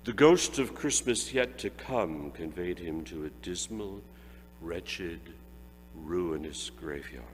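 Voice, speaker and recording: A man narrating a dramatic reading of a classic story in an expressive, measured way. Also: low voice. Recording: hall reverb, faint mains hum.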